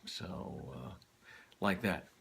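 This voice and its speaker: A middle-aged American man chatting casually to camera in a halting, wry way. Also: low voice, vocal fry, fairly bright tone, husky. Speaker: vlogger, former radio announcer.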